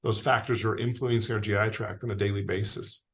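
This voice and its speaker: A man's voice: lowish voice, steady tone, fairly quick, a bit bright. A man with an American accent, a guest expert on a video call, explaining in a friendly way with frequent fillers. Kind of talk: explanatory interview answers.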